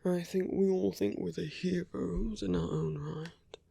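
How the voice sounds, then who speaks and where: A man playing a suave, persuasive villain in an ASMR roleplay, speaking in measured rhetorical questions and slipping into near-whispers. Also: high voice, steady tone, vocal fry, mellow, breathy edge, heavily acted. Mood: smooth, self-justifying. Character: suave male villain, persuasive, teasing, self-justifying. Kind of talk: ASMR roleplay audio drama.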